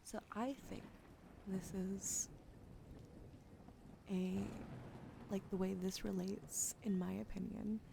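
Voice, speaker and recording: A woman talks softly into a close desk microphone, sliding between hushed speech and breathy whispers as she chats warmly with viewers. Her voice carries a gentle creaky edge at a middling pitch, and a steady wash of room noise sits underneath. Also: slow, long pauses. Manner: soft, gentle, partly whispered delivery; chatty and affectionate with viewers. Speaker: livestreamer. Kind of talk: soft-spoken ASMR card reading.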